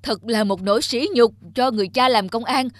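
A man playing a stern, proud middle-aged police officer father in an animated drama, with an emphatic, lecturing manner. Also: very high-pitched, brisk, no room sound, studio quality. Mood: stern, angry. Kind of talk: animated moral drama acting.

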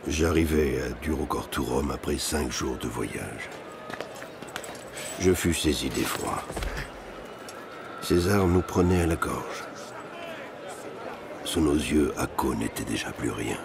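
An older actor playing a grave, proud old Gaulish nobleman with a gravelly voice, speaking solemnly in an animated docudrama. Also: rumbling low, slow, long pauses, background noise, full character voice. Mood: grave, regretful. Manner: solemn, weighty. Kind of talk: dramatic dialogue and reflective narration in animated docudrama.

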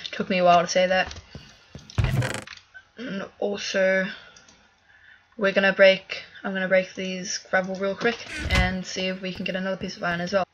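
A woman giving casual let's-play commentary as she plays, chatty and thinking aloud with fillers, a little hoarse from a cough. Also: mid-range voice, completely flat delivery, moderate pace, long pauses, dull audio, a little hiss or hum. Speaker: gaming video creator.

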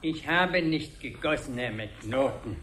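A man's voice: medium pitch, lively intonation, takes it slowly, fairly bright tone. An actor playing a pedantic, grumbling gardener who loves his plants, in a 1970s German radio drama. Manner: pedantic, reciting Latin names. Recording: faint noise on 1970s radio recording.

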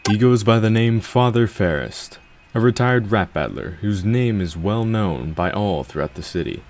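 A man's voice: on the low side, expressive, normal speed, darkish tone. A man narrating a scripted story as its storyteller, with some noise behind him.

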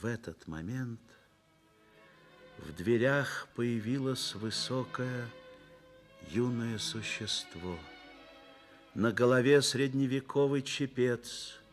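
A man narrating a radio drama in a measured, lyrical literary style. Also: mid-range voice, soft background music.

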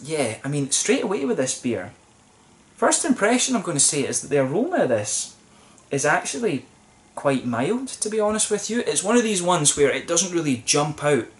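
A man's voice: on the high side, sing-song, moderate pace. A young man talking to camera at a table in a small room, reviewing a beer in a thoughtful, rambling way with many ums and restarts. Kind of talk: casual solo review to camera. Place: small plain room indoors, seated at a table.

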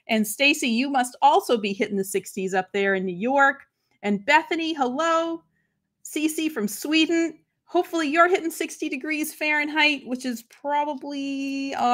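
A woman's voice: sits high, a bit bright. A woman in her thirties with a Boston accent, hosting a crafting livestream from her craft room and chatting upbeat with viewers by name. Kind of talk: casual live chat with viewers. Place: home craft room, indoors.

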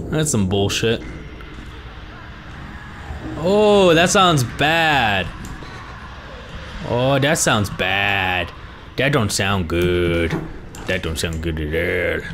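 A young man with a hoarse voice, commentating a horror game he is playing, swearing and reacting to scares. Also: medium pitch, background noise. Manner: reactive, swearing, jumpy exclamations. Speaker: gaming YouTuber. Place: small room at home. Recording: game music behind the voice.

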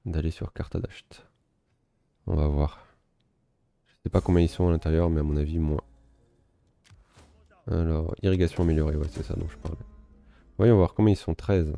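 A man giving relaxed, self-mocking commentary while playing a strategy game, thinking aloud. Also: rumbling low, normal speed, gravelly, pauses often. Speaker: gaming video host. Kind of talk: casual let's-play commentary.

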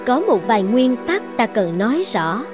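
Woman doing a bossy lovesick cartoon sorceress, highish voice, sweet talk with menace, bit of music behind. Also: sing-song, normal speed. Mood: commanding. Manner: commanding, coaxing, menacing. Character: possessive, lovesick, threatening sorceress dragon princess. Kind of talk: dubbed cartoon fairy-tale acting.

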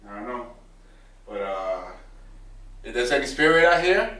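A man's voice: mid-range voice, sing-song, a little slow, pushed and bright. A man casually narrating an exploration vlog as a ghost hunter inside an empty building. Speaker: ghost-hunting vlogger. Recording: echoey rooms with clear noise.

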